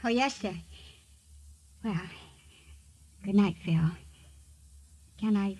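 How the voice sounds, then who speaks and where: An actress playing an earnest young country girl in a 1950s radio drama, speaking softly, politely and hesitantly. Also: medium pitch, extremely slow, slight background noise. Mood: quiet, resolved. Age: young adult.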